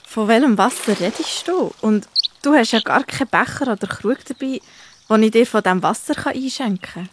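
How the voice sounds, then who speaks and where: A young woman of Bible times at a well , played by a woman, speaks in a fairly high, expressive voice. She has a Swiss German accent in a children's audio drama, with noticeable background noise. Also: normal speed, close to an everyday voice. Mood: puzzled. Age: young adult.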